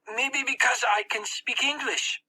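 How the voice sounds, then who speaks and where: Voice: mid-range voice, fairly even intonation, measured pace, sharp tone, breathy edge, voice pushed up, full character voice, rough recording. A narrator voicing a snarling, cornered teenage boy in an audiobook, with a strained, emphatic delivery. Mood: pained, panicky. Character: Max, snarling Hitler Youth teenage boy, cornered, defiant. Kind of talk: audiobook character voice.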